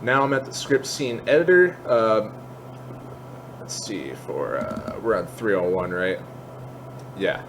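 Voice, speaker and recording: A man giving a casual software tutorial, explaining each step in an informal, candid way as he thinks aloud. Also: fairly low pitch, lively intonation, takes it slowly, pauses often, background noise. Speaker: tutorial host.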